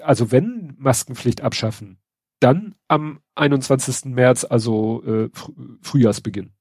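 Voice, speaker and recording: Ein Mann mit Schnupfen, der in lockerem Plauderton und mit trockenem Sarkasmus über Neuigkeiten spricht, oft mit Füllwörtern. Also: male voice, mid-range voice, animated, measured pace, a little mellow, breathy edge, no echo, clean studio sound. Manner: wry, sarcastic, hesitant with many fillers. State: stuffy nose from a cold. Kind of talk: casual news chat.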